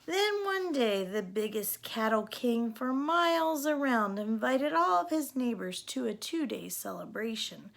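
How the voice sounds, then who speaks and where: A woman reading a children's storybook aloud in an expressive storytelling style. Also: a little high, a little slow, gravelly, few pauses. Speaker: storybook reader.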